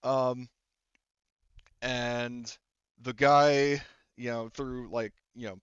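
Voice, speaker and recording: A man improvising tabletop game narration as game master, halting, rambling and often correcting himself. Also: mid-range voice, steady tone, clear, resonant tone, no room sound, highs cut off.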